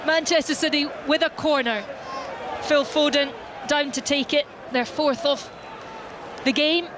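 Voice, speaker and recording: A woman giving brisk live play-by-play commentary on a football match from a stadium. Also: high-pitched, fairly even intonation. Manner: brisk, descriptive play-by-play calling. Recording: stadium crowd and loud background noise.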